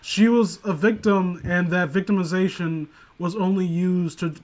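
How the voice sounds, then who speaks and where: Higher-voiced man, rambling and sarcastic. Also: steady tone, moderate pace, a little mellow. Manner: rambling, sarcastic, crude humour. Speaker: co-host of a comedic commentary show. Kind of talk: casual comedic commentary.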